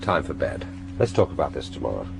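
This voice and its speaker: Brisk, sardonic secret agent. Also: male voice, very deep voice, very animated, creaky, full character voice. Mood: exasperated. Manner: brisk, dry, questioning. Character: skeptical, dryly sardonic Western male secret agent. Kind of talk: radio drama acting. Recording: noisy radio drama recording.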